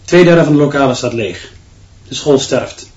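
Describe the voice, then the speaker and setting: An actor playing a sombre schoolteacher in a radio drama dialogue. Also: male voice, medium pitch, normal speed, a little mellow, close to an everyday voice. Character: sombre male teacher discussing a declining school. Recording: slightly dull, muffled sound.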